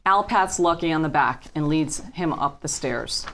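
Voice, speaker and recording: A woman's voice: sits low, lively intonation, normal speed, a bit bright, low-quality recording. A woman narrator reading screenplay stage directions at a table reading.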